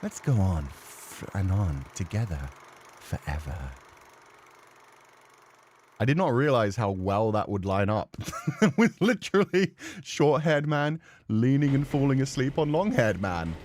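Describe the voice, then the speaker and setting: A man livestreaming a horror game, chatting casually and excitably and swearing freely. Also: medium pitch, a little slow, no room sound. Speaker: game streamer.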